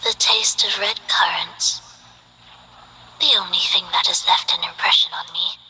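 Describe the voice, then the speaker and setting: A woman playing a soft-spoken, detached and tragic guardian of the underworld in a video game, with a breathy, measured delivery. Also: lowish voice, fairly even intonation, a little hiss or hum, heavily acted, poor audio. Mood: detached calm. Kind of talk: scripted video game character acting.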